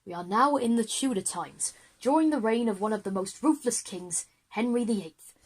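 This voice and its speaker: A woman's voice: a little high, normal speed, a little mellow, lightly acted. Woman playing a flustered, explanatory male history teacher.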